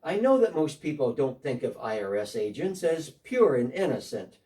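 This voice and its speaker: A man's voice: mid-range voice, sing-song, normal speed, mellow, distant mic, close to an everyday voice. A man playing a defensive, talkative tax collector in a church dramatic reading, speaking one side of a phone call.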